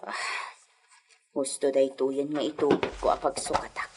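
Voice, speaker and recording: A Filipino-accented woman playing a worried, protective mother in a radio comedy-drama, coaxing and fretting in a husky voice. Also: rumbling low, level tone, a little slow, noisy background. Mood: concerned, resolute.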